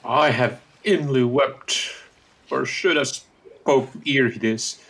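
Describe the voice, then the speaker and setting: A man's voice: mid-range voice, slow pace, fairly bright tone. A man playing a pious, kindly old courtier in a Shakespearean radio play, declaiming verse with fervor. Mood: moved. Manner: fervent, declamatory verse delivery. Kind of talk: Shakespearean radio drama acting.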